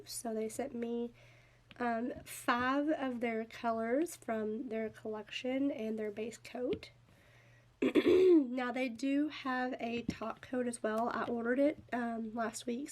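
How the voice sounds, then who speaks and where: A woman's voice: a little high, a little mellow. Stuffy-nosed woman with a head cold. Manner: chatty and upbeat, lots of fillers. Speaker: nail art vlogger. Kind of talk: casual product review and tutorial.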